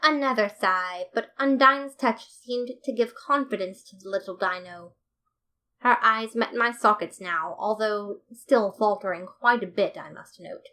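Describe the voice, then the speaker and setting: A woman narrating a fan fiction story as a podfic reader, in a steady, measured way. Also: medium pitch.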